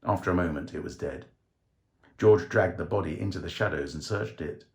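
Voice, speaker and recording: A man reading a short story as dramatic scripted narration, with theatrical, measured phrasing. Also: sits low. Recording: roomy, echoing voice recording.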